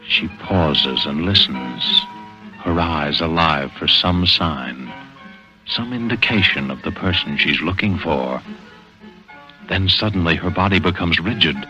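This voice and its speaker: Super deep ominous narrator for old 40s radio crime show, dramatic, dull sound, music under it. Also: male voice, expressive, measured pace. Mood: ominous, suspenseful. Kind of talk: 1940s radio thriller narration. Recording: dull vintage radio broadcast recording.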